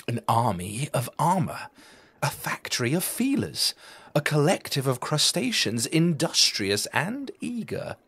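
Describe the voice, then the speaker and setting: A man reading a children's story aloud in a deliberate, theatrical storytelling manner. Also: mid-range voice, fairly bright tone, husky. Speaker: storybook reader. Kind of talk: expressive children's story narration.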